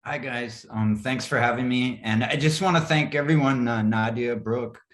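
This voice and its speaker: A man, a writer, reading aloud in an expressive literary style, with dramatic phrasing. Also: medium pitch, moderate pace. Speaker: writer reading own piece. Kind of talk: literary reading of a first-person story. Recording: roomy, echoing sound.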